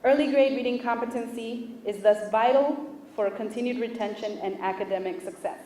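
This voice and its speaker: Native Spanish-speaking woman, official at a podium, formal and deliberate speech, distant and echoey hall sound. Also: fairly high pitch, dark tone, a little hiss or hum. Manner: measured, deliberate, pauses between list items. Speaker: official speaking at a book launch. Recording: echoey room picked up from a distance.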